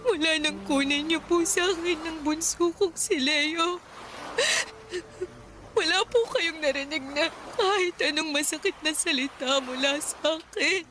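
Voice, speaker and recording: High-voiced anguished Filipino mother. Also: a little slow, pushed and bright, slight background noise. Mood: tearful pleading. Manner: tearful, pleading, emotional. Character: anguished grieving mother pleading with God. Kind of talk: Filipino radio drama acting.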